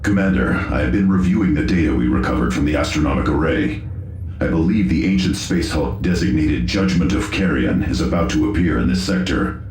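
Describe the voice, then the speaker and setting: An actor voicing a stoic cybernetic space marine engineer in a game, speaking in a measured, formal, technical way. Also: male voice, very deep voice, expressive, darkish tone, slight background noise. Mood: formal, composed. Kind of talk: scripted video game cutscene acting. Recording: echoing, music behind.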